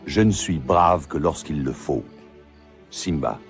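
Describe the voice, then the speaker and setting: A man voicing a wise, affectionate lion king father in an animated film, grave and gentle. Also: extremely low pitch, slow, full character voice. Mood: tender, solemn. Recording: music clearly behind the voice.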